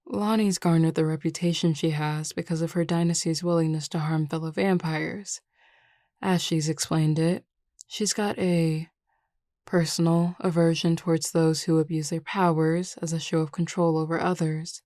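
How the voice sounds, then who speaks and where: A woman playing a confident, reassuring werewolf pack beta in a scripted audio roleplay, speaking in a measured, explanatory way. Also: low-pitched, steady tone, dark tone, no echo, studio quality, lightly acted. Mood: composed, explanatory.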